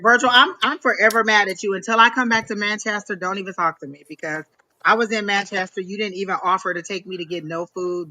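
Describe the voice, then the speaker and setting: A woman's voice: on the low side, bright, forceful tone, no room sound. A woman hosting online readings in a casual chat, with teasing, mock-stern banter. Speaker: host of online psychic readings. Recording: clearly noisy background with clicks.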